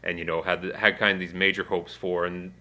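A man's voice: sits low, fairly quick. A man hosting a community radio show, chatting casually with many fillers and asides. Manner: relaxed, rambling with fillers. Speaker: radio show host and music coordinator.

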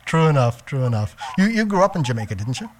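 A man hosting a radio interview, asking measured questions with hesitant pauses. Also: medium pitch, sing-song. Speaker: radio show host interviewer.

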